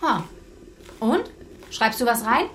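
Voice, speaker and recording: Blunt housekeeper, higher voice, very animated intonation, probing, loyal, radio drama. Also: female voice, slow pace, a little hiss or hum. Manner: probing, direct. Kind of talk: German radio drama acting.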